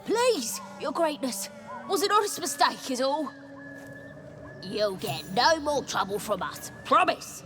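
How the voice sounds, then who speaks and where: A woman voicing a rough, wary goblin sentry in a video game, blunt but quick to grovel to her betters. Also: high voice, sing-song, fairly bright tone, exaggerated voice. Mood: grovelling. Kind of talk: video game character acting. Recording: game audio with music behind.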